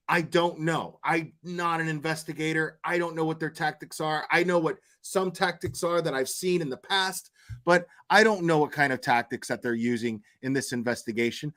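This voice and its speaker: Blunt, higher-voiced male livestream commentator. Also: normal speed, pushed and bright. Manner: blunt, argumentative, coarse-mouthed. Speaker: livestream host commenting on crime cases. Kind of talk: unscripted opinionated livestream commentary.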